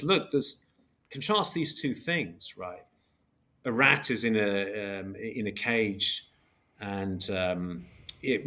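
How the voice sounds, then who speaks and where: A man's voice: lowish voice, a bit bright. A man explaining concepts as an academic interview guest, in a precise but hesitant way, often correcting himself. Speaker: philosopher guest, book author.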